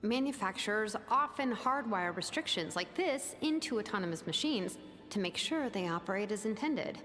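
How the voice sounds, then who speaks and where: A woman's voice: mid-range voice, animated, moderate pace, fairly bright tone, soft background music, close to an everyday voice. A woman playing a matter-of-fact technical expert in a video game, with long reverb on her voice. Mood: explanatory.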